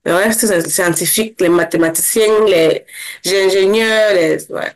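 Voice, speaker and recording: A young woman from Cameroon, a guest in an interview, speaking casually and fast, with many restarts. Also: medium pitch. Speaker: interview guest, former scholarship holder.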